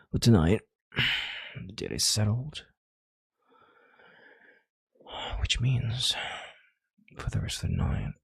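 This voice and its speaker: A man playing a calm, domineering CEO in an intimate audio roleplay, with a deep, gravelly, breathy voice and a measured, condescending yet tender manner. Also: no room sound, heavily acted. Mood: soothing, possessive. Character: calm, domineering male CEO soothing a panicked partner.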